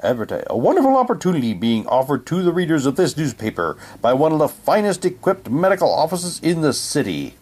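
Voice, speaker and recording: A man's voice: a little high, wildly swooping pitch, brisk, few pauses, a little mellow, slight background noise. A man casually reading printed material aloud and commenting on it, with offhand muttered asides. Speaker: unboxing presenter.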